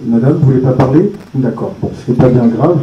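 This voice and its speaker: A man's voice: mid-range voice, a little fast, dull audio. A man hosting a conference, casually encouraging the audience to speak, with hesitant fillers. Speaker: event host and moderator. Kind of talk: moderating a conference Q&A. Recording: distant, echoey room with faint ambience.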